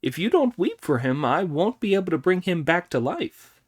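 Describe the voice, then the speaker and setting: A man's voice: high-pitched, normal speed, slightly rough, pitched above the performer's own voice, full character voice. A man reading an audiobook, voicing a cheeky, boastful male monkey king who bosses the others around. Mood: bossy. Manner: boastful, commanding, excitable.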